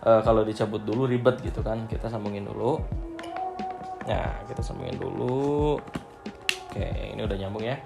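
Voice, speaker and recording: A man's voice: on the low side, fairly even intonation, normal speed, creaky, long pauses, over music. A man giving a casual, off-the-cuff product review, hesitating often with filler phrases. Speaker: vlog host reviewing gear.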